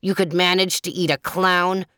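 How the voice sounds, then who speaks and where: A woman's voice: on the low side, fairly even intonation, moderate pace, bright, forceful tone, clean tone, no echo, studio quality. A woman voicing a touchy, boastful male leopard with a snarling manner in a storybook tale. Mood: spiteful, goading. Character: vain, touchy male leopard, toothless but fierce.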